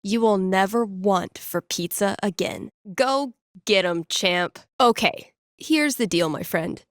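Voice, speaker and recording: A woman acts out a laid-back young female radio host from a video game, chatting with callers in an easy, improvised way. Her pitch sits in the middle for a woman and the sound is dry, with no room on it. She is playful and wry, slangy one moment and mock-dramatic the next. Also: slow pace, no room sound, lightly acted. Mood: amused, playful. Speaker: call-in radio show host.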